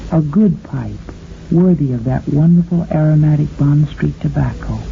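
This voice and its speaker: Slow, sultry woman on vintage radio. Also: sits low, vocal fry, a little hiss or hum. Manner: flirtatious, cooing, addresses listener with pet names. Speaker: radio host and singer. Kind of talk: intimate 1940s radio monologue and sponsor pitch. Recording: old muffled radio recording with organ. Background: organ music.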